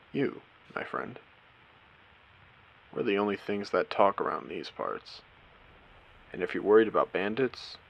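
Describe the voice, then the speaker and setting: A man playing a courteous, eerie AI who hosts an abandoned post-apocalyptic casino in an audio drama, speaking theatrically with deliberate pauses. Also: a bit bright, dull audio, exaggerated voice. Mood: courteous, playful. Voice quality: low, creaky voice. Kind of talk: scripted character audio drama monologue.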